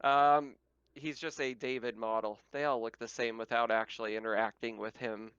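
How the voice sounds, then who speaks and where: A man runs a tabletop game in a casual, conversational way. His voice is smooth and medium in pitch. The recording is very dry and a little dull. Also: little pitch movement, normal speed, a bit bright, no echo. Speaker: game master.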